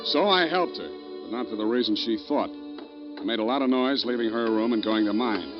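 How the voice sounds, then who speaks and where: Man playing a wry radio private eye, animated delivery. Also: medium pitch, moderate pace, lightly acted. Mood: hard-boiled narration. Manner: sardonic, clipped, unflappable. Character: wry, tough private detective, cool under pressure. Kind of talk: old-time radio detective acting and narration. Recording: muffled vintage radio recording, music behind.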